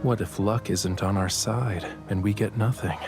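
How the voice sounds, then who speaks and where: A man acting the part of a devoted, gentle young painter in a romantic audio drama, speaking tenderly and earnestly. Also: fairly low pitch, steady tone, normal speed, vocal fry. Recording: loud music bed under the voice. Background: loud music.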